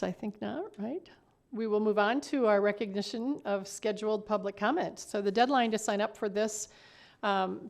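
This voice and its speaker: A woman's voice: mid-range voice, creaky, a little mellow. A woman speaking as a mayor chairing a council meeting, courteous and procedural as she moves through formal business.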